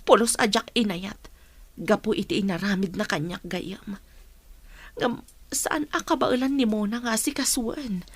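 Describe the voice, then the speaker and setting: A woman's voice: medium pitch, unhurried, husky, no echo. A woman playing a caring, troubled working mother in radio drama dialogue.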